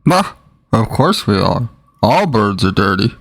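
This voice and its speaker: A man voicing a blunt, folksy, streetwise male pigeon with a gravelly voice in an animated short. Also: on the low side, measured pace, full character voice. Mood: pushy, casual. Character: streetwise, pushy male alley pigeon, older buddy type.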